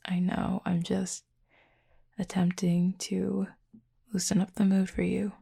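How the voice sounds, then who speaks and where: A woman voicing a flirty, caring female werewolf in an intimate audio roleplay, in a hushed, unhurried, teasing but gentle murmur. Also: mid-range voice, monotone, dry recording, clean studio sound, exaggerated voice. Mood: reassuring, teasing. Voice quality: breathy, husky, fry-laden murmur.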